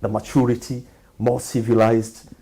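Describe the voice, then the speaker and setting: A man from Tanzania, a guest in a conversational interview, explaining in a measured, firm way. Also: mid-range voice, level tone, warm tone, poor audio.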